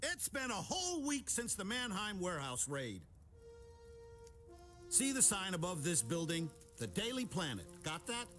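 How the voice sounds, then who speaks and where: A man's voice: sits high, wildly swooping pitch, a little slow, a bit bright, soft background music, exaggerated voice. A man playing a brusque, impatient newspaper editor who barks orders in a video game. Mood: impatient, bossy. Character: gruff, bossy, impatient male newspaper editor. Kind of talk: video game cutscene acting.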